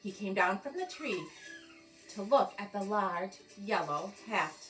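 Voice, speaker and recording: A woman reading a children's picture book aloud in an expressive, deliberate storytelling manner with dramatic pauses. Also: medium pitch, distant mic, faint music behind, rough recording. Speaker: storybook reader.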